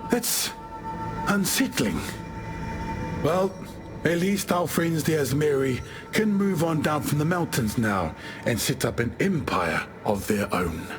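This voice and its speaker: A man playing a talkative ancient warrior spirit in a video game, wry and expressive. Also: on the high side, slow pace, over music. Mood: unsettled. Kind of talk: scripted game character dialogue.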